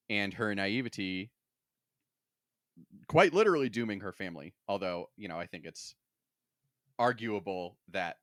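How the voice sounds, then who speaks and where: A man's voice: low-pitched, fairly bright tone, dry recording. A man co-hosting a podcast, chatting casually with wry, dry humor. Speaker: podcast co-host. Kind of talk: casual chat, book discussion.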